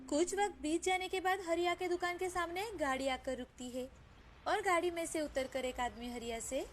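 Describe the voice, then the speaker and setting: A woman's voice: very high voice, normal speed, a little hiss or hum. A woman narrating a scripted children's moral story as storyteller.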